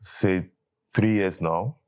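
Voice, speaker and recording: A man's voice: low voice, fairly even intonation, takes it slowly, a little mellow, clean tone. A man with a Nigerian English accent whose first language is Igbo, a catechist guest on a talk show, speaking thoughtfully and hesitantly. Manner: thoughtful, hesitant, with fillers and restarts. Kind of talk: conversational interview.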